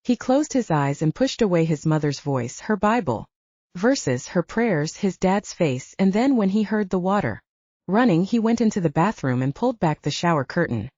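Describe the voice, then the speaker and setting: A woman's voice: on the low side, animated, moderate pace, warm tone, dry recording. A woman narrating an audiobook, reading scripted fiction. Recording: dull, lo-fi, narrow sound.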